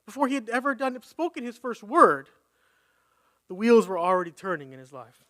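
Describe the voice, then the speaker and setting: A man's voice: sits high, very animated, normal speed, sharp tone. A man preaching a conversational sermon at a live church service, reading scripture and thinking aloud as he corrects himself.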